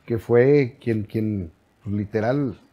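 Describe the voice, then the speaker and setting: Mexican male guest, 40s, husky voice, slow relaxed delivery, agreeable. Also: on the low side, muffled recording. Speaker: podcast guest. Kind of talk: casual chat.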